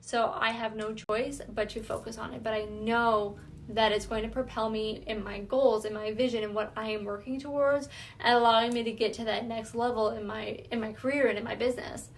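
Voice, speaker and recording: A woman's voice: on the high side, steady tone, fairly quick, slight background noise. A woman giving an earnest, reflective self-awareness talk, thinking aloud as she goes. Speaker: wellness vlogger.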